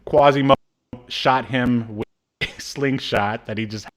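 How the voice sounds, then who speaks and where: A man's voice: medium pitch, steady tone, unhurried. A man in his thirties co-hosting an informal review chat, talking in a casual, joking way. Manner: jokey, informal. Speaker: podcast co-host. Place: indoor room, on a video call.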